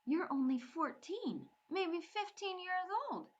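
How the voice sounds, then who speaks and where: Woman voicing a defiant Irish girl, storybook reading, raised and sharp, scornful, slightly muffled. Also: mid-range voice, slow pace. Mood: shocked. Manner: scornful, loud outbursts.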